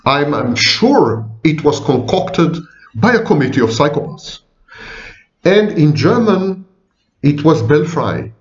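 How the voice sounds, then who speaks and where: A man's voice: a little high, sing-song, slow. A man of sixty, a psychology professor, giving a wry, digressive informal lecture to viewers, often repeating and correcting himself. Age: 60s. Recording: echoey room, dull lo-fi sound.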